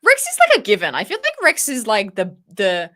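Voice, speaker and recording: A woman's voice: medium pitch, huge pitch swings, fairly quick, bright, forceful tone. An Australian woman co-hosting a casual livestream, talking in a slangy, teasing and enthusiastic way. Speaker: livestream host.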